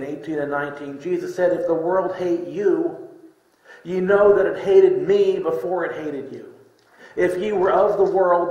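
Middle-aged preacher in an echoey room. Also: male voice, fairly high pitch, darkish tone, lo-fi sound. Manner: deliberate, with pauses between short phrases. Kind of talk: sermon with scripture readings. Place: indoor room, speaking from a lectern.

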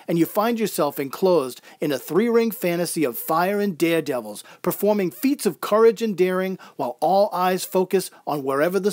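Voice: medium pitch, darkish tone, no room sound, clean studio sound, natural voice. A performer playing a wistful, proud circus clown in a reflective first-person audio drama monologue. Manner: reflective, descriptive storytelling.

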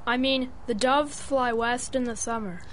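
A scrappy kid informant talks tough in a vintage-style radio play. The voice is fairly high, earnest and slangy, with some background noise. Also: female voice, steady tone, a little slow, fairly bright tone. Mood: awed. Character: eager, scrappy poor street kid, junior informant. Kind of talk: 1930s-style radio drama acting.

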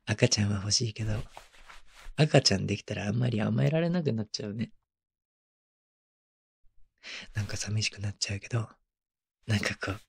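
Breathy, tender young husband, acted by a man. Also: fairly low pitch, exaggerated voice. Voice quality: soft, breathy. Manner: murmuring, playful, coaxing. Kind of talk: intimate ASMR roleplay voice drama. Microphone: close microphone, binaural.

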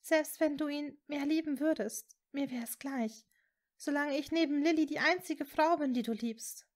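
A woman playing a high, earnest young witch. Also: animated, quick pace. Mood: tender. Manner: soft, earnest, emotional. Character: tender, devoted young witch in love, emotional.

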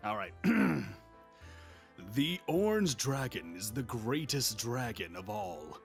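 A man's voice: medium pitch, sing-song, takes it slowly, gravelly, soft background music. A man chatting casually as a guest player in a party game. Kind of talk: casual chat, hesitant answering.

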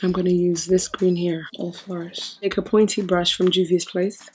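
A middle-aged woman from Nigeria with a British accent and a hoarse voice, chatting casually through a makeup tutorial in her sitting room. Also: on the low side, little pitch movement, normal speed, darkish tone, soft background music. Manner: chatty, breezy, thinking aloud while working. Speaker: makeup vlogger. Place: sitting room in front of a sequin backdrop.

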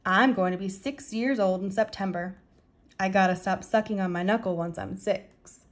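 A woman's voice: mid-range voice, normal speed, natural voice. A woman voicing a solemn, chatty five-year-old girl, childlike and serious, in a storybook. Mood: chatty, proud.

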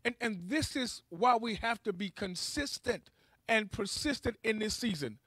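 A man's voice: high-pitched, animated, a little slow, a bit bright, dry recording. A man preaching a charismatic sermon in a fervent, emphatic way, exhorting his listeners and repeating key phrases.

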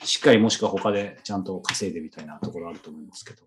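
A man's voice: sits low, rapid-fire. A man chatting casually as a podcast host, explaining things in a rambling way. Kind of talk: casual chat. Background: background music.

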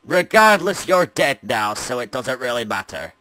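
A man's voice: medium pitch, big pitch swings, normal speed, bright, forceful tone, no echo. A man voicing a cocky, aggressive animatronic in goggles, boastful and taunting, in game voice lines. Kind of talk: video game character voice lines.

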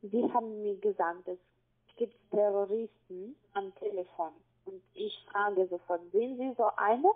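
A woman with a foreign accent speaking on a phone call as a call-centre agent, insistent, with halting non-native phrasing. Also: mid-range voice. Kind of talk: phone conversation. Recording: tinny narrow phone line.

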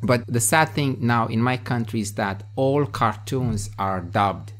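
Animated Romanian man on a headset call. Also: mid-range voice, slight background noise. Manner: animated, quick bursts, restarts. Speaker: podcast host. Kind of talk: casual video-call chat. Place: home room with bookshelves, indoors. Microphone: headset on video call.

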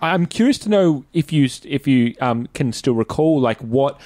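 A man's voice: medium pitch, expressive, moderate pace, warm tone, no room sound. An Australian man hosting a casual podcast interview, asking rambling, slightly hesitant questions.

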